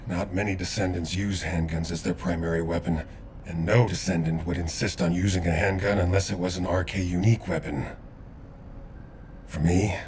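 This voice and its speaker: An actor playing a haunted, determined soldier confiding solemnly in a science fiction video game. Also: male voice, sits low, slight background noise.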